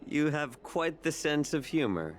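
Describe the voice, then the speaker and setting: A man voicing a suave, theatrical, playfully sinister healer and cook in a game, explaining things smoothly. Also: a little high, sing-song, measured pace, a little hiss or hum. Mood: amused, taunting. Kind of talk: scripted game dialogue.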